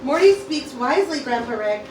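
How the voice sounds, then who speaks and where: A woman's voice: sits high, lively intonation, normal speed. A woman playing a bold, loyal teenage cartoon granddaughter plotting in a mock-Shakespearean amateur radio play. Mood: persuasive. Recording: roomy, distant, noisy amateur group recording.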